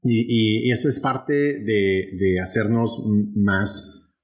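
A man's voice: fairly low pitch, slow. A young man with a northern Mexican accent, co-hosting a podcast in a casual, rambling way with many restarts. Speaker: podcast co-host. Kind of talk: casual conversation.

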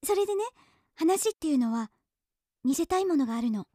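A woman acting an earnest, gentle teenage girl who paints, speaking softly and sincerely in a Japanese radio drama. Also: high-pitched, animated, fairly quick, no room sound. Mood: nervous, apologetic. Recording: studio drama with music underneath.

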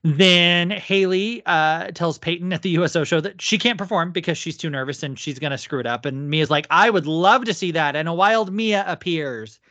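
A woman's voice: on the low side, moderate pace, hardly any pauses, fairly bright tone, no room sound. A woman co-hosting a podcast, chatting casually through a TV episode recap in an agreeable way. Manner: agreeable, frequent short affirmations. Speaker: podcast co-host.

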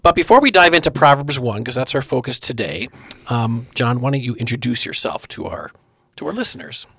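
A man's voice: medium pitch, animated, a little fast, creaky, pushed and bright, slightly rough, no room sound. A man hosting a church podcast in a chatty, friendly way, with lots of fillers. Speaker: podcast host. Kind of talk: casual church podcast chat.

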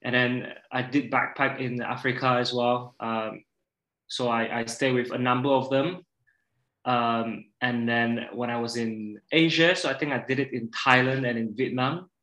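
Male guest, thirties, Malaysian accent, bright forward voice, casual chat, roomy echo. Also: medium pitch, fairly even intonation, a little slow. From Borneo, Malaysia. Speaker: podcast guest. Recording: echoey, roomy sound.

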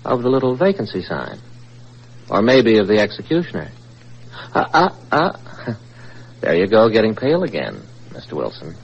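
An actor playing a cocky newspaper reporter with a mocking, theatrical manner in an old radio thriller. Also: male voice, on the low side, huge pitch swings, moderate pace, vocal fry, long pauses, slightly rough, full character voice. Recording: muffled old radio recording, faint music.

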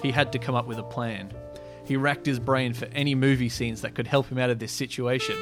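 Male podcast host, mid pitch, self-amused amateur storyteller with a mock-dramatic streak, music bed underneath. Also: fairly even intonation, fairly quick. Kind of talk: reading his own sci-fi story, casual chat.

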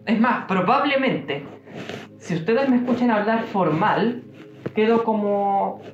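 A young man with a Chilean accent giving an informal devotional talk, musing aloud with pauses and fillers. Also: high voice, expressive, faint music behind. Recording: roomy, dull-sounding room recording.

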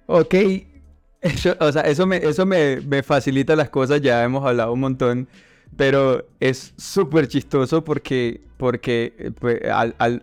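A man's voice: medium pitch, clean tone, dry recording. A man hosting a casual bilingual interview, hesitant and often repeating his words. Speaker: interview host.

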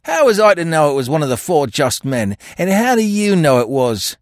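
A cheeky, sullen little crook out of a storybook, put on by a male narrator. His high voice jumps about as he whines and answers back in rough, slangy grammar. He is cunning and defiant, recorded very dry. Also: wildly swooping pitch, moderate pace, no echo, full character voice. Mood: defiant, sulky. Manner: whining, indignant, defiant, colloquial grammar. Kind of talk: audiobook character voice.

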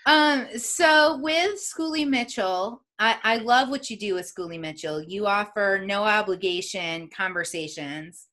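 A woman's voice: medium pitch, unhurried, hardly any pauses, bright, forceful tone. A woman with an upstate New York accent, hosting a casual interview with upbeat, chatty questions. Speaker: podcast host.

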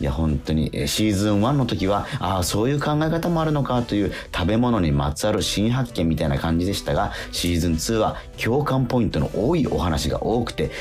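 Male radio host, deep and expressive, casual mail-reading chat.

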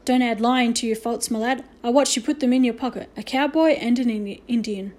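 A woman's voice: a little high, fairly even intonation, a little fast, slight background noise, natural voice. A woman voicing an angry, suspicious middle-aged male shopkeeper from a storybook, with a heavy, scornful manner. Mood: accusing. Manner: heavy, forceful, scornful scolding.

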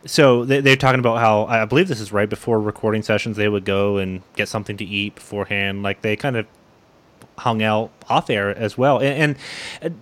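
A man's voice: on the low side, fairly even intonation, a little fast. A man from Canada hosting a casual interview, asking questions in a hesitant, roundabout way. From Canada, Ontario. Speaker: interviewer, host.

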